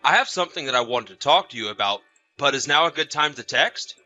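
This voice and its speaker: A man's voice: medium pitch, lively intonation, fast talker, pushed and bright, soft background music. A man playing an awkward neighbor with a complaint in a scripted audio story, hesitant and tactful but insistent. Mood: friendly, hesitant. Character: awkward, tired male neighbor making a complaint.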